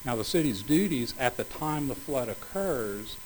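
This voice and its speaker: A man arguing as attorney for a city in an appellate court, speaking in measured, formal legal phrasing, slightly hoarse. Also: medium pitch, expressive, a little mellow, low-quality recording. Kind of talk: appellate oral argument.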